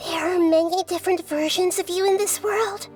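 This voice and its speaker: A woman's voice: extremely high pitch, fairly even intonation, moderate pace, a little mellow. A woman playing a small, childlike goddess of wisdom in a video game, earnest, precise and thoughtful, with music behind. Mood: wary, firm. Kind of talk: dramatic video game voice acting.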